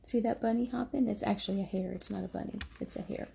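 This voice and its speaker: A woman reading a children's bedtime story aloud as a mother, gently, with casual asides to her small child. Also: medium pitch, steady tone, quick pace, creaky, slight background noise. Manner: gentle, motherly baby talk.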